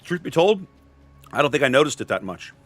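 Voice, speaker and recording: A man co-casting a livestreamed game tournament from home, loud and easygoing in casual chat, his voice starting to tire. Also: a little high, big pitch swings, a little fast, faint music behind. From Texas. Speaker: tournament co-caster and streamer. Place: home streaming setup.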